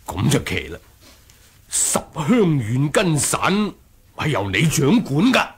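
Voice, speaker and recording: Husky, wildly expressive martial master. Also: male voice, medium pitch, slow pace, full character voice. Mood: feigned helpfulness. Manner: theatrical grunts and sighs between lines. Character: wary, proud martial master, easily startled and suspicious. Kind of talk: Cantonese 1980s radio drama acting.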